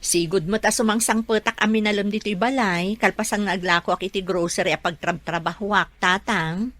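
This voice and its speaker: Woman acting a bright-voiced, questioning grown daughter. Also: mid-range voice, steady tone, normal speed, close to an everyday voice. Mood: puzzled. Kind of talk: Filipino radio drama acting.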